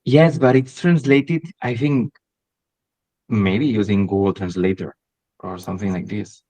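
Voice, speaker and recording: A man with a Catalan accent, a podcast guest talking casually and hesitantly, with many pauses and restarts. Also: lowish voice, steady tone, warm tone, poor audio. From Catalonia, Spain. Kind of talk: casual interview chat.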